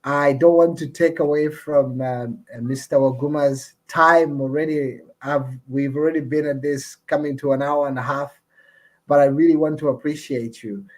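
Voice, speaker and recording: A man hosting an online discussion over a video call, speaking in an earnest, appreciative and measured way. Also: a little high, steady tone. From Uganda. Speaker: host and community representative.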